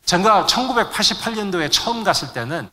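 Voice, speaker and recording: A middle-aged man preaches in a forceful, bright voice pitched a little high. He pauses to hunt for words and drops in English terms as he addresses the congregation. Long reverb from a big hall trails behind his speech. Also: animated. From Korean immigrant family in the United States. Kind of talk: sermon to a congregation.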